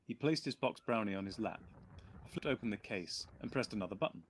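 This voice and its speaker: A man narrating an audiobook in a measured storytelling manner, with lively character voices. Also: fairly low pitch, a little hiss or hum. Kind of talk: reading an audiobook aloud.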